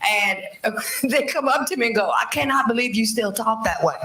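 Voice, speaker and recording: A woman's voice: a little high, moderate pace. Brash foul-mouthed older woman comedian. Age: 60s. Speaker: stand-up comedian. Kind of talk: profane stand-up storytelling. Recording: echoey distant clip with loud noise.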